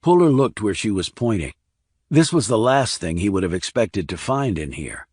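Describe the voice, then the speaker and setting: A man's voice: on the low side, big pitch swings, moderate pace, darkish tone, slightly rough, dry recording, studio quality. A man narrating a crime thriller audiobook as a scripted reading.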